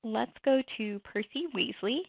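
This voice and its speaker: A woman explains a software walkthrough step by step in a conversational, relaxed manner. Her slightly high voice carries a gravelly creak, and the recording is thin and phone-like, with no room sound. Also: unhurried, no room sound. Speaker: software demo presenter. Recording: tinny phone-like dry audio.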